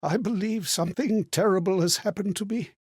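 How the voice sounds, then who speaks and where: An actor playing a frail, forgetful old man in a video game, anxious and kindly, with a hesitant, plaintive delivery and a husky, breathy voice. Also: high voice, fairly quick, a little mellow, no room sound, clean studio sound. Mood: troubled, grave. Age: older. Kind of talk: theatrical video game character acting.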